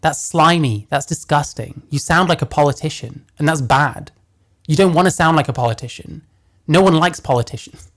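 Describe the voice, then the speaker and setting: A man with a deeper voice giving casual reaction commentary, blunt and profane as he rants. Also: normal speed. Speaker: commentary host.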